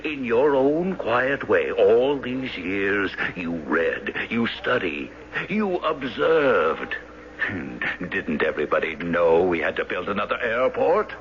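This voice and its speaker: An actor playing an excitable, domineering banker and elder brother in an exasperated, theatrical old radio drama style. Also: male voice, lowish voice, slow. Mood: awed, excited. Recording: dull, noisy old radio broadcast.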